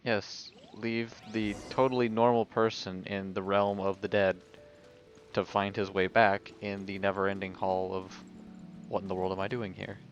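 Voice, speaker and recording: A man's voice: lowish voice, flat delivery, normal speed, soft background music. A man giving casual, wry commentary as he streams a game. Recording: dull, noisy stream microphone.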